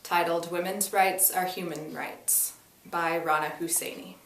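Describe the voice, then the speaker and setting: A woman giving a dramatic first-person reading of a story, in a deliberate, emphatic way. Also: fairly low pitch, steady tone, fairly bright tone, a little hiss or hum. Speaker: reader performing a dramatic reading. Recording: echoey room, distant mic.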